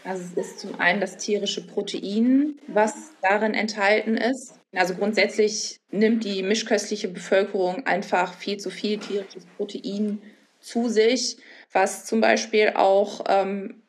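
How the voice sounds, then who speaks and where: A woman's voice: mid-range voice, steady tone, unhurried, a little hiss or hum. A woman speaking as an interviewed nutrition adviser, explaining her view in unscripted talk.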